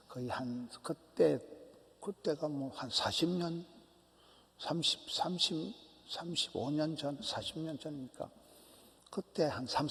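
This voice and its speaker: A man in his sixties with a Gyeongsang accent, a pastor giving an early-morning sermon in punchy repeated phrases that sometimes drop to a whisper. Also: fairly high pitch. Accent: Gyeongsang Korean. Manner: animated, emphatic preaching with short repeated phrases and drops to a whisper. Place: church sanctuary. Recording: church hall reverb.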